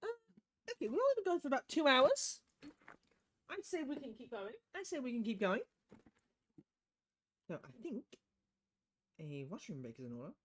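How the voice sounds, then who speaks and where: A woman's voice: sits high, very animated, moderate pace, pauses often. A woman streaming a game, chatting casually and reading aloud for her viewers. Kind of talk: casual livestream chat and read-aloud.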